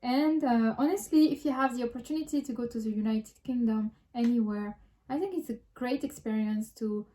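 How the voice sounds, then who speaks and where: French-accented woman with a mellow voice. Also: a little high, fairly quick, hardly any pauses. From France. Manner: frequent restarts and hesitations, reflective. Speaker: vlogger. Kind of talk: casual personal vlog.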